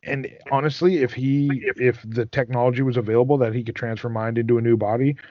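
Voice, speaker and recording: Earnest male talker, mid-range, casual and slightly tentative, on a dry, softened recording. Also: medium pitch, steady tone, fast, no echo. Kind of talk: casual chat, earnest opinion. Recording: dry, slightly muffled close sound.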